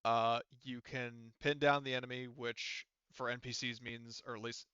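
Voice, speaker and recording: A man's voice: medium pitch, little pitch movement, normal speed, bright, forceful tone, clean tone, no room sound, muffled recording. A man acting as game master, patiently explaining the rules of a tabletop roleplaying game step by step.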